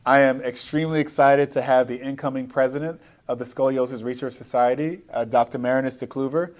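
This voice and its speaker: A man hosting an interview podcast, speaking with halting, hesitant phrasing as he puts questions to a guest. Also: medium pitch, fairly even intonation, darkish tone. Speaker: podcast host. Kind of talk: interview, live podcast recording. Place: at a conference in Seattle.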